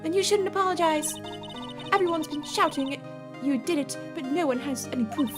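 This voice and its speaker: A woman's voice: moderate pace, lightly acted. A woman doing a haughty little-boy prosecutor voice. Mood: lecturing. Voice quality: high-pitched, fake accent. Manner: stern, lecturing. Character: young boy Edgeworth, prim and haughty. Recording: game music behind.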